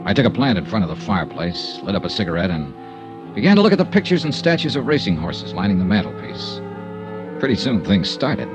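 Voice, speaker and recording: An actor playing a cool, wry insurance investigator in a 1950s radio detective drama, with dry, understated delivery. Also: male voice, on the low side, very animated, over music. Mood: wry narrating. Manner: dry, understated, measured first-person narration and talk. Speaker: insurance investigator, narrator and lead. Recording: muffled old radio recording.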